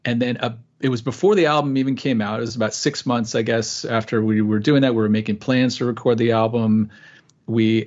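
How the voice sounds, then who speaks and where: A man's voice: mid-range voice, muffled recording. A man guest telling stories in a casual interview, easygoing and rambling with lots of restarts. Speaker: guest author and musician.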